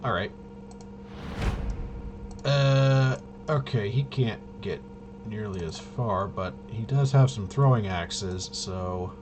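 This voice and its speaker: A man thinking aloud in casual tabletop gameplay commentary, halting and muttering to himself. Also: lowish voice, music in the background. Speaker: tabletop RPG player and presenter.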